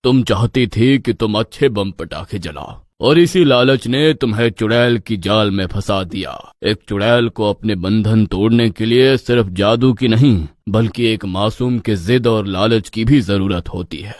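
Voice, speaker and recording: A man's voice: lowish voice, unhurried, few pauses, dry recording, studio quality, close to an everyday voice. Man as a solemn, righteous village holy man. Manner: solemn, commanding, declamatory. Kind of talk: dramatic moral-tale voice acting.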